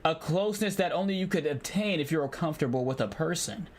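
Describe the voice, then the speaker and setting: A man livestreaming a game, talking to his chat in an animated, slangy way as he thinks aloud. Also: a little high, a little fast. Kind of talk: game livestream, reading dialogue aloud and commenting.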